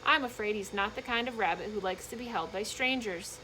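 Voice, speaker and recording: A woman's voice: medium pitch, a little fast, pushed and bright, a little hiss or hum, natural voice, rough recording. A woman voicing an earnest, protective little girl in a storybook, with an emphatic, pleading manner. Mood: polite, firm.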